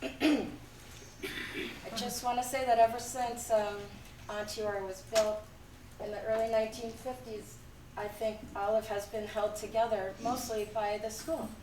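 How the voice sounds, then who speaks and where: A woman with a hoarse voice from a cold, giving a public comment at a town meeting from notes. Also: fairly high pitch, fairly even intonation. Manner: reading from notes, brisk but halting. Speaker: school board candidate. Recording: echoey room from far off, faint noise.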